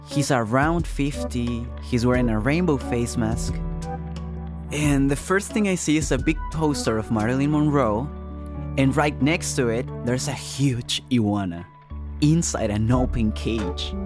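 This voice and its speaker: Man telling a personal story over music. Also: medium pitch. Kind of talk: first-person narrative storytelling.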